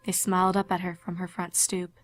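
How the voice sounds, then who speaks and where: Woman, horror story narrator, lowish pitch, controlled even intonation, dramatic scripted reading, faint background music. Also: brisk.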